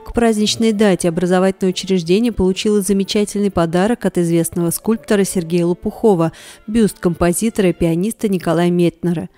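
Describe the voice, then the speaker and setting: Woman reading a mellow news voice-over. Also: lowish voice, steady tone. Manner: measured, formal read. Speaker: news reporter.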